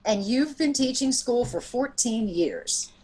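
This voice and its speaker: A woman's voice: fairly high pitch, lively intonation, takes it slowly, warm tone, slightly rough, exaggerated voice, rough recording. A woman acting in a 1940s-style radio drama as a wry, practical confidante with a teasing, matter-of-fact way of talking. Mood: wry, amused.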